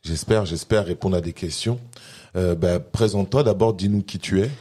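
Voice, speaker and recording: A man hosting a podcast, reading his intro and then asking relaxed, brief questions. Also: sits low, moderate pace, husky, dry recording. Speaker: podcast host. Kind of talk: scripted podcast intro, then casual interview.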